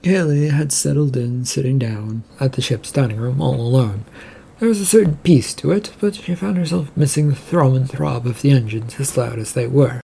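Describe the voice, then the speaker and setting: Storyteller, reading fiction aloud, mellow, gravelly creak, husky. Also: medium pitch, normal speed, slight background noise, rough recording. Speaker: narrator.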